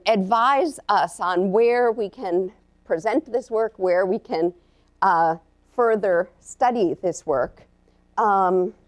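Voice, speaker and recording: A woman professor giving a live lecture to an audience, earnest and halting, with many ums and uhs. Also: mid-range voice. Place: lecture room.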